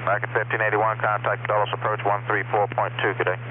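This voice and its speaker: Male departure controller, lowish voice, rapid clipped calls, tinny radio line with hiss. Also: level tone. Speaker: air traffic departure controller.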